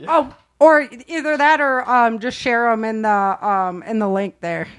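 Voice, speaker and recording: A woman's voice: fairly high pitch, measured pace, clean tone, dry recording, studio quality. A woman hosting a casual group chat, interviewing performers in an informal, chatty way and prompting others to speak. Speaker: host and producer of an audio drama.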